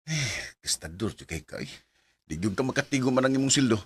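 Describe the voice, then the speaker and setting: A man with a Cebuano Bisaya Filipino accent playing a thrifty, emotional young boyfriend in a radio drama, his voice husky. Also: mid-range voice, big pitch swings, brisk. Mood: reluctant.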